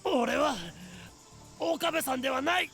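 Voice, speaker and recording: An actor playing a bossy, grandiose young mad scientist in Japanese anime visual novel style, pompous and melodramatic. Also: male voice, extremely high pitch, fairly quick, creaky, a bit bright, soft background music, full character voice. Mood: mock agony.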